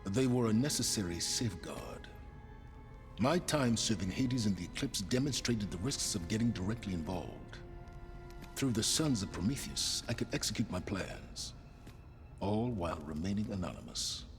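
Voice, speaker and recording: An actor playing a cold, calculating schemer in a video game, speaking in measured, formal, condescending phrases. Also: male voice, on the low side, lively intonation. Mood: calculating, proud. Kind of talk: video game dialogue acting. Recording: music behind the voice.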